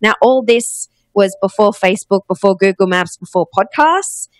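A woman's voice: mid-range voice, lively intonation, moderate pace, no room sound. Upbeat Australian woman guest. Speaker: podcast agency head, guest. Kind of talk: conversational interview.

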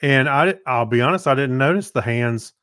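A man's voice: medium pitch, normal speed, fairly bright tone, no room sound, clean studio sound. A man co-hosting a podcast, chatting casually and explaining things in an amused, conversational way. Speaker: podcast co-host. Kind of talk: casual chat.

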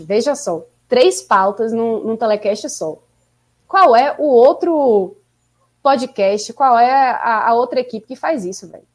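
Upbeat female stream co-host, slightly high voice, chatty delivery. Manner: chatty, upbeat, urging viewers to like and subscribe. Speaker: podcast co-host. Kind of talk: casual live-stream chat.

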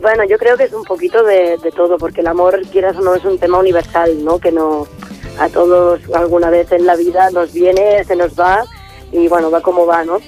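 A woman from Barcelona, a singer, answering interview questions in a relaxed, reflective way. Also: on the low side, fairly quick, faint music behind. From Barcelona, Spain. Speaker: singer, guest.

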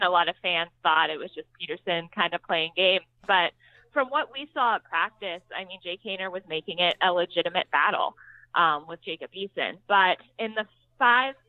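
A woman's voice: mid-range voice, no echo, phone-quality sound. An American woman, a sports beat writer, giving conversational answers as an interview guest. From Pittsburgh, United States.

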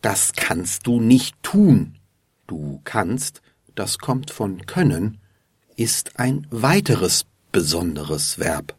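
A man hosting a lesson podcast, slowly and patiently explaining grammar to learners. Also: lowish voice, big pitch swings, slightly rough, dry recording, clean studio sound. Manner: deliberate and patient. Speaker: German teacher and podcast host. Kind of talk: slow, clear explanation for learners.

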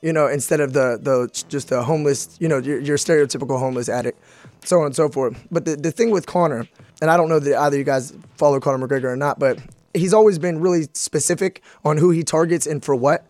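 A man's voice: a little high, quick pace, darkish tone, dry recording. An American man hosting a casual studio podcast, talking in a blunt, informal way. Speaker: podcast host.

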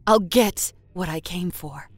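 A woman's voice: fairly low pitch, slow pace, no room sound. An actress playing a defiant, sardonic young woman in a horror audio drama, with a dry delivery. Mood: cool confidence. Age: young adult.

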